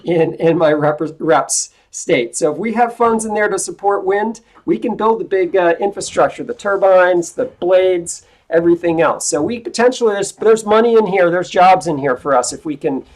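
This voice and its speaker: Lady teacher on a video call playing an aide, straight-talking, lots of restarts, voice a bit low today. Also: moderate pace, few pauses, darkish tone, rough recording. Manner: frank, informal, restarts often. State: voice lower than usual. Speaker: instructor playing a congressman's aide. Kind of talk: classroom role-play over a video call.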